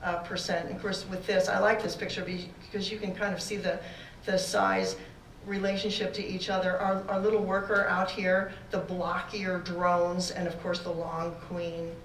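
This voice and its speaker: Woman, class presenter, loud voice, halting lecture, echoey room, distant mic, faint room noise. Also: fairly low pitch, flat delivery. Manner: loud voice, halting with fillers and restarts. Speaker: beekeeping course presenter. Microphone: far room recording, no mic for a while.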